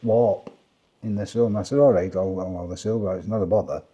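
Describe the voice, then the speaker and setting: Low husky Lancashire man chatting. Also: steady tone, brisk, warm tone. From Burnley, England. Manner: rambling, retells conversations with quoted speech. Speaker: vlogger. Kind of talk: casual kitchen table chat. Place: kitchen at home. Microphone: clip-on mic.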